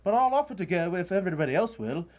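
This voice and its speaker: Man acting glib businessman, high voice, callous, breezy, muffled recording, radio drama. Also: sing-song, quick pace. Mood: flippant. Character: flippant, callous businessman gossiping. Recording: dull student radio recording.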